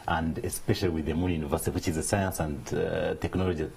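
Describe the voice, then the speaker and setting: A man, a business leader answering interview questions in a measured, earnest way. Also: a bass voice, fairly even intonation, vocal fry, darkish tone, slight background noise. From Uganda. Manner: measured, earnest, explanatory, with restarts. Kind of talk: studio interview.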